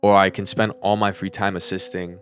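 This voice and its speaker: A man's voice: low-pitched, level tone, brisk, fairly bright tone. A young adult man with an American accent talking casually and earnestly on a podcast, giving advice as a co-host.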